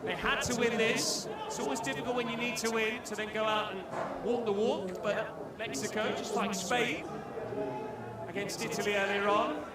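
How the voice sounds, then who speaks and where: A man's voice: high voice, steady tone, moderate pace. A male football commentator calling play-by-play with wry, punning banter between the action. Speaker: match commentator. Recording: echoey arena, distant mic, loud crowd. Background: loud crowd.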